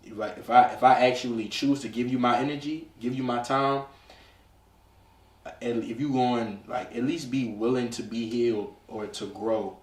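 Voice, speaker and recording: A man's voice: medium pitch, fairly even intonation, moderate pace. A nineteen-year-old man in a casual, slangy podcast conversation, speaking emphatically and repeating himself for emphasis. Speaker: podcast co-host. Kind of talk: casual group chat about relationships. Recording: echoey room, distant mic.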